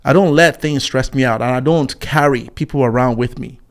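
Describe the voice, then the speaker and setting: A man's voice: mid-range voice, brisk. Rambling man in his forties. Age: 40s. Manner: rambling, scornful, many fillers and restarts. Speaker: YouTube commentator. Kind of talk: casual commentary reading viewer comments.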